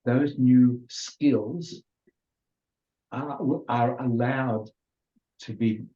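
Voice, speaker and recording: Older male lecturer, first language Hungarian; slow, restarting delivery, dull lo-fi recording. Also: mid-range voice, fairly even intonation, warm tone. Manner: unhurried, with many restarts and fillers. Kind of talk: informal lecture.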